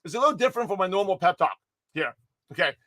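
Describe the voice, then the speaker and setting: A man with a high, quick, slightly hoarse voice delivers a motivational pep talk straight to his viewers. He rambles energetically, corrects himself mid-word, and keeps circling back to his point. The sound is dry and close, with no room around it. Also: no echo. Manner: energetic, rambling, with many restarts and self-corrections. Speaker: motivational speaker giving a daily pep talk.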